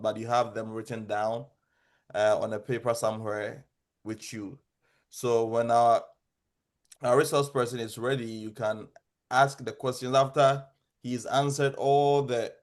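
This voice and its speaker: A man with a Ghanaian accent hosting and moderating an informal online group meeting. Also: mid-range voice.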